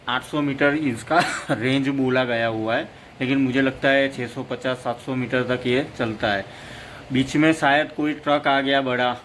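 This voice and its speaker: Man, casual product demo, flat delivery, rambling, faint ambience behind. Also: medium pitch, slight background noise. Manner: rambling, repeats "plus" between points. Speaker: motorcycle vlogger.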